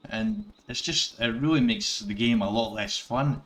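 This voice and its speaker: A man's voice: medium pitch, unhurried, a bit bright. A middle-aged Scottish man giving rambling casual commentary over gameplay, correcting himself and chuckling as he goes. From Scotland. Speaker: gaming YouTuber.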